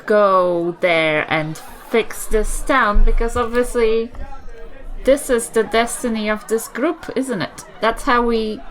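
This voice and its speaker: Woman voicing an old granny adventurer, unhurried, slightly high voice, deliberate, affectionate, tabletop game. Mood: kindly, resolute.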